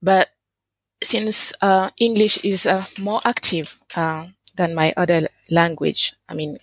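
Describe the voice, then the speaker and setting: A French-speaking woman from Senegal and Côte d'Ivoire, an interpreter being interviewed, speaking in a measured, thoughtful way with many hesitant pauses. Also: on the low side, little pitch movement, dry recording. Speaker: translator and interpreter, guest. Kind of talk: interview conversation.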